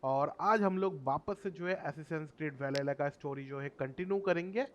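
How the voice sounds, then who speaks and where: Fast-talking Indian man with a sore throat. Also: a little high, a little hiss or hum. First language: Hindi. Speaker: gaming YouTuber. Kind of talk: casual gameplay commentary. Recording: game music behind the voice. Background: video game music and dialogue.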